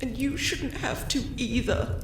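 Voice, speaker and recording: An actress playing a grieving, wounded but steadfast wife, pleading emotionally in an audio drama. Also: female voice, high-pitched, unhurried. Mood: grieving, hurt. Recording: roomy, distant, noisy with ambience.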